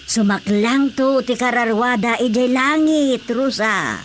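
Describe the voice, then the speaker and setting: A woman's voice: fairly high pitch, takes it slowly, music in the background. A woman playing a nagging, pious, motherly villager with scolding interjections, in an Ilocano radio drama. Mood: earnest counsel. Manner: exclaiming, scolding, animated interjections.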